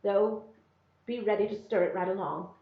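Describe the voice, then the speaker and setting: A woman voices a knowing older storybook woman, gently wry and instructive, taking her time. The pitch is middling and the sound is roomy, a little dulled. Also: moderate pace, natural voice. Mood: wry, gentle. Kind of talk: storybook character reading. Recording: roomy, slightly dull sound.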